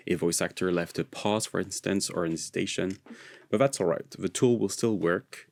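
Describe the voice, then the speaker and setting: A man's voice: low voice, gravelly, no room sound. A man who speaks English as a non-native with an American leaning, narrating a screencast tutorial in an informal, explanatory way. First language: not English. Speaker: software tutorial presenter.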